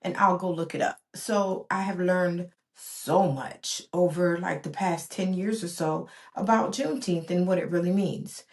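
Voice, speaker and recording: This is a woman in her forties, born in Barbados and raised in the US, hosting her own podcast. Her voice sits a little lower than most women's. She talks in an earnest, rhythmic way and stacks repeated phrases for emphasis. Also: steady tone, unhurried, hardly any pauses. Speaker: podcast host. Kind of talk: reflective solo podcast monologue.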